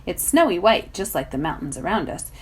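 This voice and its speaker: A woman narrating a children's novel as a volunteer reader, in an expressive storytelling style. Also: on the low side, brisk, slightly rough, rough recording.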